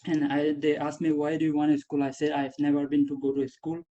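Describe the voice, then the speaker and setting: A young man, not a native English speaker, telling his own story with halting, repeated phrases. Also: fairly high pitch, completely flat delivery, warm tone. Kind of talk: personal testimony. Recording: dull lo-fi sound.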